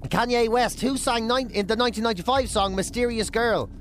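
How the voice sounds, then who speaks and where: A man's voice: very high voice, a little fast, slight background noise. An Irish man hosting radio in a studio, with casual, joking, chatty banter. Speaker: radio show host.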